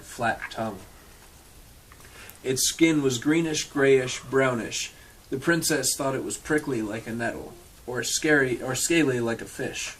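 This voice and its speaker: A man with a medium-pitched voice reads a storybook aloud. His delivery is dry and irreverent, with casual asides. There is some faint noise and clicking in the recording. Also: fairly bright tone, poor audio. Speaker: storyteller reading a picture book aloud to an audience.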